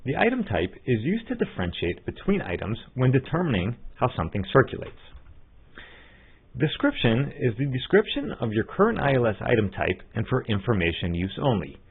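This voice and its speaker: A man giving scripted step-by-step tutorial narration as a software trainer, in an instructional manner. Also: mid-range voice, big pitch swings, fairly quick, gravelly, husky. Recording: muffled narrow phone-like line.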